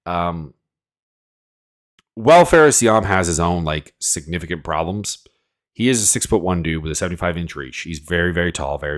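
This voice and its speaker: A man with a cold, sniffling as he rambles through casual, opinionated fight predictions full of fillers. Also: low voice. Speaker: MMA analyst host.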